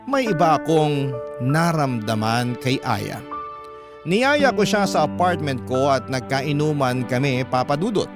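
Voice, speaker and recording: A man reading a first-person love letter as a radio storyteller, with emotive, deliberate phrasing. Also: mid-range voice. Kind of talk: dramatic scripted narration.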